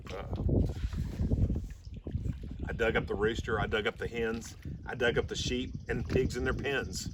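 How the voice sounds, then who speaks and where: A man reading a rhyming children's story aloud in an expressive storybook style. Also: mid-range voice. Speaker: storybook reader. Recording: slightly distant mic, faint background noise.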